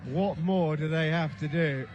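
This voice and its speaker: A man giving live play-by-play commentary on a futsal match, excitable and rising to shouts at the big chances. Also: fairly high pitch, measured pace, background noise.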